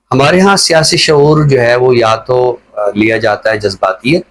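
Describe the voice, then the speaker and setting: A middle-aged man with a Pakistani accent speaks as a literary commentator on a talk show. He explains his points in a measured, lecturing manner, at an ordinary male pitch. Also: a bit bright, low-quality recording.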